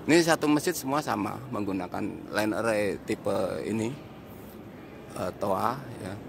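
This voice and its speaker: Low, creaky man, halting explainer. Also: noisy background. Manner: halting, explanatory, counting and pointing things out, repeats himself. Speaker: audio reviewer and vlog host. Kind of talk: walk-through review commentary, improvised. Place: inside a large mosque, walking around.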